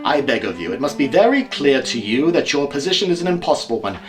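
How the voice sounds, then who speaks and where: A man playing a suave, mocking gentleman detective in an audio drama, with a genteel, precise, drily amused delivery. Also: medium pitch, big pitch swings, fast, music in the background, close to an everyday voice. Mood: triumphant. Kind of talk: amateur audio drama acting.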